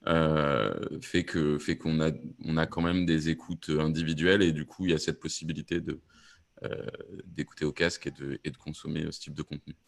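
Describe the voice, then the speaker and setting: Deep voiced guy on a panel explaining stuff, keeps rewording as he goes. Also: flat delivery, a little fast, vocal fry. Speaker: podcast fiction producer on a panel.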